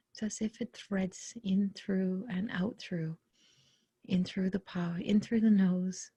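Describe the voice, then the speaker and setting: Soft, breathy woman leading a meditation. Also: lowish voice, dry recording. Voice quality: soft, breathy, often near whisper. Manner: gentle, unhurried, pausing between short phrases. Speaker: guided meditation leader. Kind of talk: guided meditation, soothing spoken instruction.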